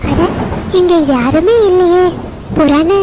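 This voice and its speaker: Little female cartoon sparrow, a woman's put-on voice, very high, kind, clever, reassuring, loud background noise. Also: slow pace. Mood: curious, earnest. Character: small, clever, kind female sparrow, calm problem-solver. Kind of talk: Tamil cartoon story voice acting. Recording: noisy track with outdoor ambience.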